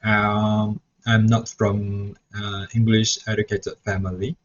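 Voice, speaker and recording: A man's voice: lowish voice, level tone, slow, slight background noise. A young man who grew up speaking Mandarin, talks through a reflection vlog as a student teacher, hesitant and full of "so yeah" restarts. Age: young adult. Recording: dull lo-fi recording.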